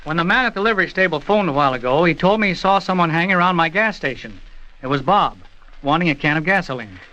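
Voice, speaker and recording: A man's voice: fairly high pitch, lively intonation, fast talker, sharp tone. An actor playing an earnest, courteous small-town American man in an old-time radio drama. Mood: explaining. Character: earnest, level-headed young small-town gas station owner. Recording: dull, lo-fi old radio recording.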